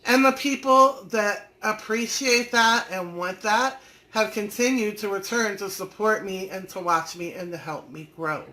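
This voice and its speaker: A woman's voice: medium pitch, a little slow, bright, forceful tone. A woman, hosting a live stream, chatting folksily and teasing viewers by name as she reads their comments. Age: 40s. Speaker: online business community host.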